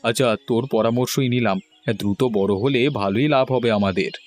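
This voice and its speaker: Cautious village man acted by a man, medium pitch. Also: brisk, soft background music, close to an everyday voice. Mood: agreeable. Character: cautious, cost-worried village fish farmer man. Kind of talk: cartoon dialogue.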